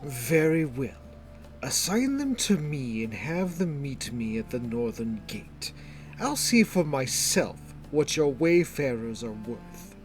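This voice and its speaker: A man voicing a cold, deliberate masked viscount from a fantasy story, with measured, precise speech. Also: mid-range voice, very animated, soft background music. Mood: cool, commanding.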